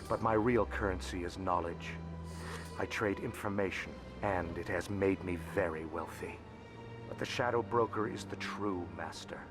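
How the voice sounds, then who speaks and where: An actor voicing a shrewd, secretive alien financier in a video game, speaking in measured, formal phrases with breathy endings. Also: male voice, low-pitched, close to an everyday voice. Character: alien male information broker, shrewd, courteous, secretive financier. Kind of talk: video game character dialogue. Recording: music behind the voice.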